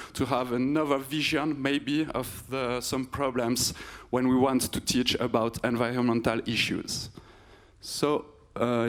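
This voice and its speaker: Slow, unhurried man moderating. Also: mid-range voice. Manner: unhurried, polite. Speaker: workshop moderator. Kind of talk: moderating a workshop.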